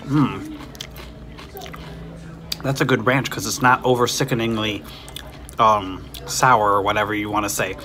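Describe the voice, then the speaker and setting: A man's voice: on the low side, steady tone, unhurried, pauses often, bright, forceful tone. A man in his thirties, a vlogger casually walking through an empty restaurant and then tasting food, talking in an easygoing, chatty way with frequent ums. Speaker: vlogger exploring places and reviewing food. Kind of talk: casual walkthrough commentary and food review. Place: outside an empty restaurant, then inside a diner. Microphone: phone camera at arm's length.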